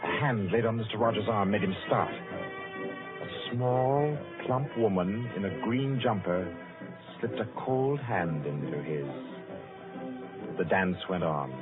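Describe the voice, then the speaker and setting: A man's voice: on the low side, big pitch swings, slow. A man narrating a suspense tale for an old radio drama in a solemn, scripted storytelling manner. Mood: suspenseful. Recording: muffled, tinny old radio recording. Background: music.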